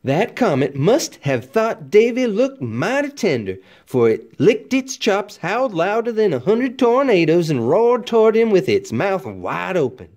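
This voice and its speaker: A man's voice: high voice, sing-song, unhurried, few pauses, a little mellow. A man narrating a children's storybook expressively, with folksy, dramatic emphasis like a teacher reading aloud.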